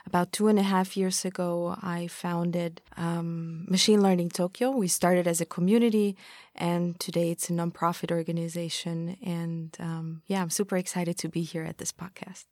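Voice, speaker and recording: A woman from Austria with a lowish voice speaks as a podcast guest. She talks thoughtfully, with frequent ums and restarts. The sound is close and dry. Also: level tone, normal speed, a little mellow, dry recording. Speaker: founder of a tech community, guest. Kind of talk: podcast interview.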